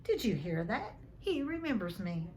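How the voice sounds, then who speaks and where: A woman voicing an excitable, delighted little gentleman in a storybook reading. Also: mid-range voice, moderate pace, natural voice. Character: excitable little top-hatted man.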